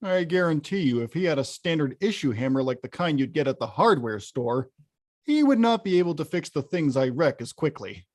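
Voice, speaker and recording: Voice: mid-range voice, animated, brisk, a little mellow, natural voice. An actor playing a huge, hot-tempered, weary arcade-game villain who confides wryly and grumbles conversationally in a table read. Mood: begrudging, boastful. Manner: conversational, grumbling, bursts into bellows. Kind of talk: table-read acting, confessional voice-over.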